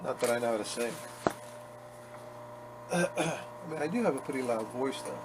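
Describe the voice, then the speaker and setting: A man's voice: medium pitch, expressive, moderate pace. A man talking casually as he works on a leather restoration, chatty and self-mocking. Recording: workshop noise behind the voice.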